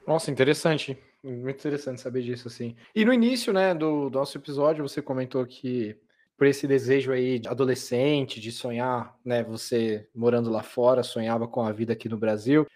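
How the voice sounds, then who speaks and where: A man's voice: medium pitch, brisk, darkish tone. A man hosting a podcast, asking friendly questions in a conversational way with frequent tag questions. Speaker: podcast host. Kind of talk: conversational interviewing.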